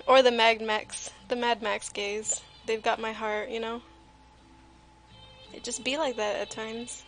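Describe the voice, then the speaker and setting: A woman's voice: fairly high pitch, little pitch movement, measured pace, fairly bright tone, poor audio. A woman livestream host chatting casually and jokingly while she draws, with a playful, self-deprecating way of talking. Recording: music playing behind the voice. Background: music.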